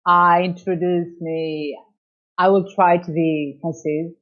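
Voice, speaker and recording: A woman from Portugal speaking English with a Portuguese accent, slowly searching for words as she explains her chamber of commerce in an interview over a video call. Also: fairly low pitch, flat delivery. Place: in Lisbon. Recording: dull lo-fi call audio.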